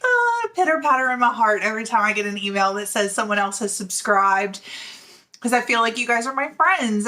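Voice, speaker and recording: A chatty woman in her forties talks straight to the camera about her knitting. Her voice is bright and fairly high, and she laughs easily between "um"s and "like"s as she goes. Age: 40s. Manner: chatty and giggly, with many fillers. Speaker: knitting podcast host; middle school teacher. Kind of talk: casual chat to camera.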